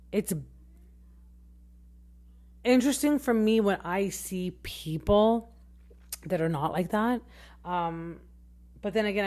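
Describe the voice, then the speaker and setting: A woman in her thirties hosting a casual podcast recap, very expressive, her voice swinging high and low as she rambles with fillers and restarts. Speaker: podcast host.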